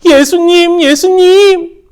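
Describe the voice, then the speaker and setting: A woman doing a loud pleading blind beggar. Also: very high voice, voice pushed up. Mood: joyful. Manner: loud, drawn-out pleading cries.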